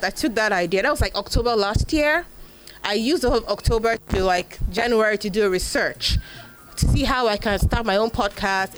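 A Nigerian woman whose first language is Igbo, telling her story in a live interview with lots of fillers and acted-out quotes. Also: medium pitch. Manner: animated storyteller, acts out quoted voices, frequent fillers. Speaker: podcast host being interviewed.

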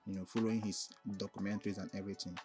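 A man with a Nigerian, Igbo-influenced accent, a native Igbo speaker, answering casually as an interview guest, often pausing and circling back as he thinks aloud. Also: deep voice, little pitch movement, music in the background. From Onitsha, southeastern Nigeria. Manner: halting, frequently repeats "like" and "you know" while thinking aloud. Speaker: music artist interviewed as guest.

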